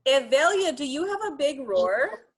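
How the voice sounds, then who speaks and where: A woman reading a picture book aloud to young children in an expressive, encouraging way, talking directly to them. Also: sits high, moderate pace.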